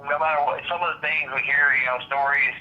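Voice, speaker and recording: A man's voice: fairly high pitch, steady tone, fast talker, dull audio. A man in his thirties, a wrestling ring announcer from Missouri, giving rambling, earnest interview answers full of restarts. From Missouri, USA. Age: 30s.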